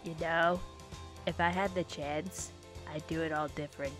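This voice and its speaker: A woman's voice: deep voice, steady tone, moderate pace, music in the background, natural voice. A woman voicing a whiny, dim, emotional teenage boy who rambles over-dramatically in an amateur cartoon dub. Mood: sheepish.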